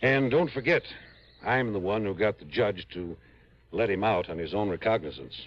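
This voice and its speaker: Earnest small-town lawyer, low expressive voice, articulate, worried, radio drama, dull vintage recording. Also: male voice, normal speed, no room sound. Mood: resigned, worried. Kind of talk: old-time radio drama acting.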